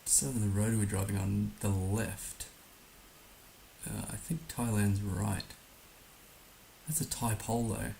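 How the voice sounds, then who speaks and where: A man with a low, hoarse voice that crackles heavily with fry. He muses his way through casual game-stream commentary, often hesitating as he reasons things out. A steady hiss sits behind him. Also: unhurried, long pauses. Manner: musing, hesitant, thinking aloud. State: hoarse, recovering from being under the weather. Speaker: livestream host playing a geography guessing game. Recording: noticeable background hiss.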